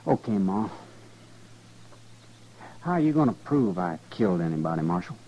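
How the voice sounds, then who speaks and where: A man's voice: low-pitched, very animated, normal speed, mellow. A dull, slightly noisy old radio recording carries a young frontier man with a mid-range voice. He is surly, defiant and rustic, nursing resentment in 1950s western drama style. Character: sullen, resentful young frontier farm son.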